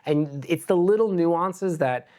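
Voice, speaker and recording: A man's voice: on the high side, a little slow, warm tone. A man co-hosting a casual panel chat, talking loosely and peppering his speech with filler words. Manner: loose and chatty, filler "like" everywhere. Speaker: co-host.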